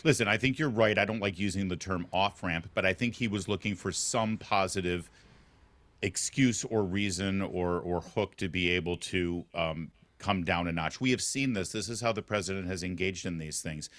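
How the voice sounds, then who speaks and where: A man co-hosting a political talk show, talking in an animated way with quick restarts and repeated words. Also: low-pitched, no room sound. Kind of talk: casual political chat.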